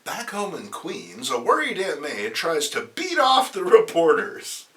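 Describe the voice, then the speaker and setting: A man's voice: a little high, wildly swooping pitch, unhurried, a bit bright. A man giving a theatrical, comedic narration as he reads a comic book aloud.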